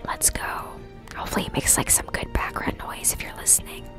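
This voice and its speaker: A woman whispering breathily and chattily to viewers on a casual ASMR gaming live stream. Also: brisk. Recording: music behind the whispering. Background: clear background music.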